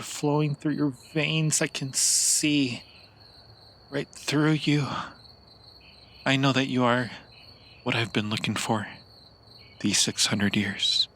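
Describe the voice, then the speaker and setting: Slow, gravelly, seductive male vampire, acted by a man. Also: mid-range voice, pushed and bright. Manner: theatrical, intimate, lingering, with dramatic pauses. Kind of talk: improvised ASMR roleplay addressed to the listener.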